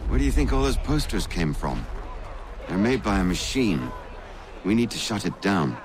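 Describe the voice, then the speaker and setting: An actor playing an affable, wry colonial rebel mentor who explains things in a video game cutscene. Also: male voice, lowish voice, big pitch swings, moderate pace, noisy background. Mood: instructive. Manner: wry, instructive.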